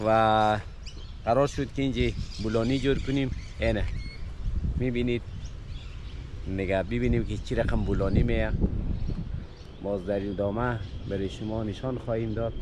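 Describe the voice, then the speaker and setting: A man's voice: lowish voice, pauses often, background noise. A man in his thirties with an Afghan Dari accent, chatting casually to the camera outdoors at a park picnic while cooking and tasting food. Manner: relaxed, chatty, talking to camera while eating. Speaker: vlogger.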